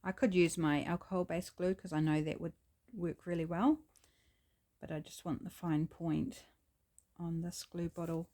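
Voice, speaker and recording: A woman's voice: on the low side, normal speed. A woman with a New Zealand accent and a scratchy voice, chatting casually while she works on a craft project. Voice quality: gravelly, scratchy. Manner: chatty, relaxed, thinking aloud. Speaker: craft channel host.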